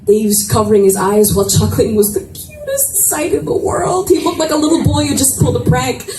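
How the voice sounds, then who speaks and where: A woman reading romance fiction aloud as expressive first-person narration, with dramatic pauses, at a live event. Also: sits high, soft background music. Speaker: reader of a romance novel. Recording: echoey room, distant mic, noisy ambience.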